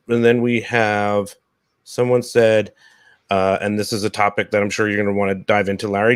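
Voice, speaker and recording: A man's voice: on the low side, dry recording. Male podcast host, dry close sound. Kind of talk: casual live-show hosting, reading chat comments. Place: indoor room on a video call.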